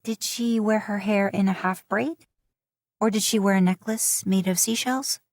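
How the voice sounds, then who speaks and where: A woman's voice: medium pitch, fairly even intonation, moderate pace, a little mellow, dry recording, studio quality, pitched above the performer's own voice, close to an everyday voice. A woman voicing a young wife who speaks in a clipped, wary way, her voice slightly raised. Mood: curt, wary. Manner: clipped, pointed questions.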